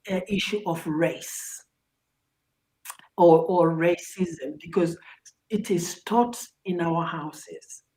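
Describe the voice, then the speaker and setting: Slow-spoken middle-aged Zimbabwean woman. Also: mid-range voice, darkish tone, low-quality recording. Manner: earnest, halting, repeats words as she searches for phrasing. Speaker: talk show guest. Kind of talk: personal advice in a talk show interview.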